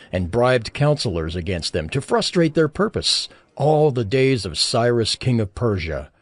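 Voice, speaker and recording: A man narrating scripture in a solemn, expressive reading. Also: mid-range voice, dry recording.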